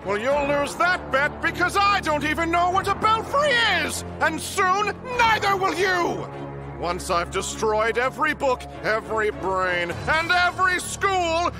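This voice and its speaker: A man's voice: very high-pitched, big pitch swings, measured pace, over music, full character voice, poor audio. A man playing a deranged, dim-witted villain doctor in a comedy cartoon game, bombastic and theatrical. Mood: menacing, gloating. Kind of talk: animated comedy game acting.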